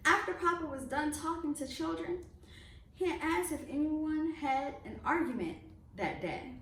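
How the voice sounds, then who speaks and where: A woman reads a children's storybook aloud in a high, very lively voice that rises and falls widely. She gives phrases deliberate, expressive emphasis. The recording is roomy and echoey. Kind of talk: expressive read-aloud narration of a children's picture book. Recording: roomy echo, distant mic, faint noise.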